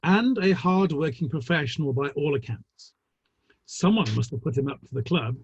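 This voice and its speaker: Man acting husky, animated Victorian theatre gentleman. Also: on the high side, normal speed. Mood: amiable, teasing. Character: gossipy, wry Victorian theatre gentleman at his club. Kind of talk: dramatic play reading over the internet. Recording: muffled, roomy online call audio.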